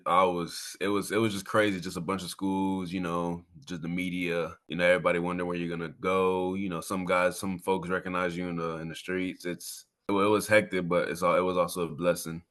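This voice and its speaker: A young man from Miami with a very low, bright and forceful voice gives a casual interview as a college athlete. He speaks earnestly and informally, circling back over phrases for emphasis. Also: little pitch movement, brisk, hardly any pauses. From Miami, Florida. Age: 20s. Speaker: college football player, interview guest.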